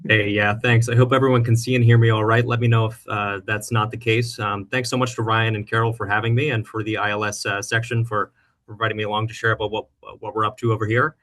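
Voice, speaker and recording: A man's voice: lowish voice, level tone, fast, fairly bright tone. A man introducing himself politely as a panelist, in an informal professional style. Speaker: panelist, government lawyer.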